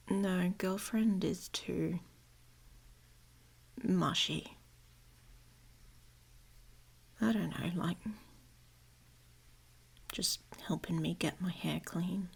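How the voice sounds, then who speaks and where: Woman doing a grumpy werewolf girl for asmr roleplay, slow n raspy, kinda sarcastic but protective, whispery. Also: medium pitch, a little mellow, full character voice. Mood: grudging, tender. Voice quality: husky, creaky, often dropping to a whisper. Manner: sarcastic, blunt, halting. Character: gruff, grumpy, protective she-wolf shifter woman, secretly affectionate. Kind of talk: intimate ASMR romance roleplay.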